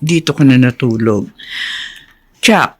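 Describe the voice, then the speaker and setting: A woman's voice: rumbling low, slow pace, darkish tone, husky, exaggerated voice. A woman playing a caring but insistent older sister in a Filipino radio drama. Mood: firm, urging.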